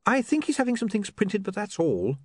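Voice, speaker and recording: A flustered young man in an audiobook, played by a male narrator. He is hesitant, polite and eager to placate, with a raised, jumpy pitch. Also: huge pitch swings, a little fast, no echo, studio quality, heavily acted. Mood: anxious politeness. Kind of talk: audiobook character voice.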